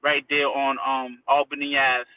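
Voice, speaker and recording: A man's voice: medium pitch, little pitch movement, normal speed, clean tone. A man from Haiti who moved to America as a child, chatting informally as an interview guest, using slang and repeating phrases for emphasis. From Haiti, then Connecticut from age six. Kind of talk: casual chat, personal recollection. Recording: tinny phone-like line, distant from mic.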